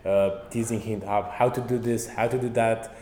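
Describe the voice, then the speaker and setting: Animated man in a roomy hall. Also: on the low side, normal speed. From Romania. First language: Romanian and Hungarian. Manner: animated storyteller, acts out quotes, many fillers. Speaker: guest, passive house trainer. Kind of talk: casual interview chat. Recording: roomy reverberant hall, faint background noise.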